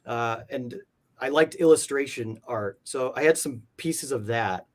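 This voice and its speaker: A man's voice: mid-range voice, unhurried. A man being interviewed, telling stories in a casual, meandering way with plenty of informal filler words. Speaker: interview guest, comic art collector. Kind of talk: casual conversational storytelling.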